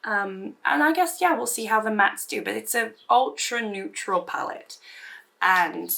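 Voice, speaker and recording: A woman with a hoarse voice still recovering from losing it, chatting casually about makeup while doing her look, rambling with many fillers and restarts. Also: medium pitch, measured pace, sharp tone. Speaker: beauty vlogger. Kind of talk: casual get-ready-with-me chat.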